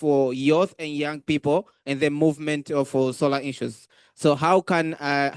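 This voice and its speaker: A man's voice: fairly high pitch, smooth, dry recording, studio quality. A young man with a Tanzanian accent putting an earnest, slightly hesitant question from the floor in non-native English. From Zanzibar, Tanzania. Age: young adult. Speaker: audience member asking a question.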